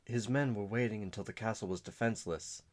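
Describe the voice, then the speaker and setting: A man voicing a young noblewoman warden who stays composed through grief, speaking quietly and with restraint. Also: fairly low pitch, a little fast, voice pushed up, natural voice. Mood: grieving, steady.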